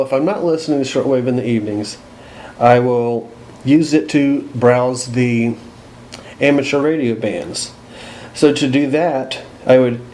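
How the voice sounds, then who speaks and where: A man's voice: mid-range voice, warm tone, a little hiss or hum. A man with an American accent giving a casual, plain-spoken, unscripted walkthrough as a demonstrator, recorded indoors. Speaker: host demonstrating a radio. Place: indoors at home.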